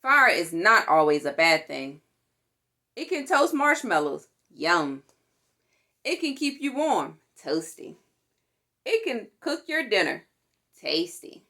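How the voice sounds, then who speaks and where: A woman reads a picture book aloud for young children. Her mid-range voice moves in a lively, animated way across its pitch. She takes her time, speaking slowly and deliberately, and gives little exclamations a playful touch. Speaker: storytime reader for a fire department.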